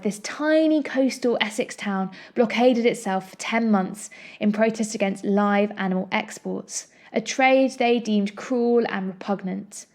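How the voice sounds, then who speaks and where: A woman's voice: mid-range voice, a little mellow. Woman hosting an arts podcast. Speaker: podcast host and interviewer, playwright. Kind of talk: scripted introduction, then conversational interview.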